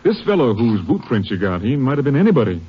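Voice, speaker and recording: An American actor playing a skeptical, businesslike captain of the Texas Rangers in a 1950s radio drama, with a husky voice. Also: male voice, mid-range voice, big pitch swings, fast. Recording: muffled vintage radio recording.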